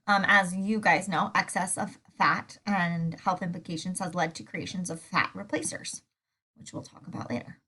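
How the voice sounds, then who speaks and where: Woman, congested, sore throat, bright voice, lecturing over slides, lots of fillers. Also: lowish voice, moderate pace, husky. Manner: relaxed, with many fillers. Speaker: food science teacher.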